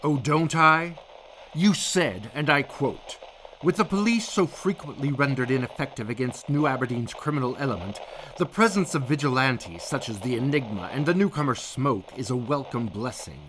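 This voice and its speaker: A man's voice: medium pitch, animated, normal speed, background noise, natural voice. An actor playing a stern, indignant male police commissioner in a 1940s-style radio drama. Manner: stern, scolding, authoritative. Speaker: police commissioner, phone-in caller.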